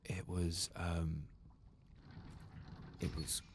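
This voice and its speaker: A man narrating a game playthrough in a hushed, unhurried ASMR style, often slipping into a whisper. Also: low-pitched, level tone. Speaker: host of a gaming ASMR channel. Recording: faint background noise.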